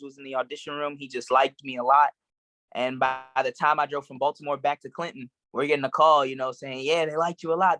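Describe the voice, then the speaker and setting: A young man from Maryland answering interview questions in a relaxed, rambling way with plenty of fillers. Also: medium pitch, no echo. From Maryland, USA. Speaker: guest actor.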